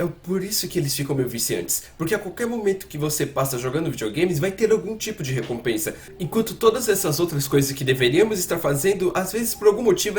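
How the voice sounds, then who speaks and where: A man's voice: fairly high pitch, close to an everyday voice. A Brazilian man dubbing a psychiatrist who explains addiction in an informal, conversational talk, speaking directly to the listener. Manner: addresses the listener directly, informal, with rhetorical questions.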